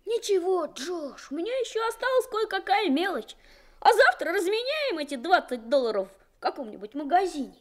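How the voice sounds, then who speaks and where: A woman's voice: extremely high pitch, very animated, normal speed. A woman voicing a timid young boy, the narrator's little brother, in a radio play. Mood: reassuring. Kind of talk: Soviet radio play acting.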